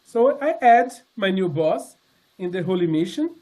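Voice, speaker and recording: A man in his sixties giving a personal testimony to a congregation, speaking earnestly and haltingly, with many fillers and restarts. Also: sits high, big pitch swings, mellow. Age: 60s. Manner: earnest, sincere. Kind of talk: personal testimony talk with slides.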